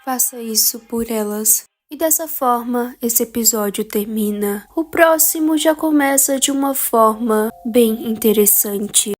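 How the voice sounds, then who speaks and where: A woman narrating a recap of a horror video series in a steady, explanatory way. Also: on the high side, measured pace, few pauses, faint music behind.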